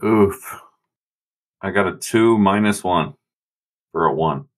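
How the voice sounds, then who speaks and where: Deep-voiced man in casual game chat. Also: takes it slowly, noisy background. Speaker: tabletop game player.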